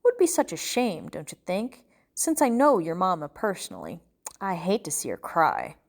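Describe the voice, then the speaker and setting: A woman voicing an exasperated, menacing bartender man interrogating a captive, with slow, deliberate, threatening delivery in an audiobook story. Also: lowish voice, huge pitch swings, creaky, heavily acted.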